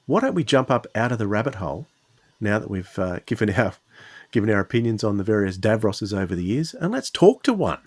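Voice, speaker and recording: Low-voiced male podcast host, close and dry. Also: big pitch swings, a little fast, dry recording. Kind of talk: casual conversational podcast talk.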